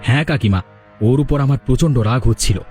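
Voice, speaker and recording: A man's voice: medium pitch, a little fast, darkish tone, soft background music, close to an everyday voice. A man voicing a sly, mischievous young village man in a cartoon drama. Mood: earnest.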